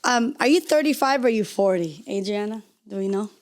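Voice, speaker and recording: A woman's voice: mid-range voice, expressive, fairly quick. A woman hosting a podcast interview, asking rambling questions in a chatty, joking way. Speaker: podcast host. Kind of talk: casual interview conversation.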